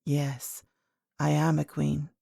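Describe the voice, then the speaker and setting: A woman voicing a very old, gentle and mysterious grandmother queen in a storybook, speaking calmly and kindly with measured words. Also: sits low, vocal fry, no room sound, clean studio sound, voice pushed down, heavily acted. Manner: calm, measured, kindly, enigmatic. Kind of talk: storybook character voice.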